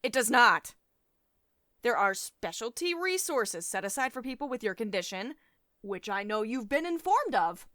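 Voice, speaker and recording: A woman's voice: on the high side, very animated, moderate pace, pushed and bright, no echo, clean studio sound. A woman playing a stern, commanding CEO in an ASMR roleplay, scolding sardonically and condescendingly, with a caring streak. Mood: indignant, scolding. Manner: domineering, sardonic, clipped reprimands with sugary pet names.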